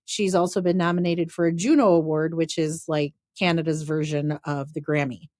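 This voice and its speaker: A woman co-hosting a podcast, chatting casually with lively reactions and a rambling way of talking. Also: low-pitched, moderate pace, dry recording, studio quality. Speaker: podcast co-host. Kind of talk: casual chat.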